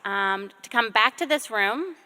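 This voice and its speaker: A woman moderating a live event, briskly handing out questions and announcing the next session. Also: on the high side, expressive, bright, forceful tone. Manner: brisk, organizing. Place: conference room with in-person and online audience.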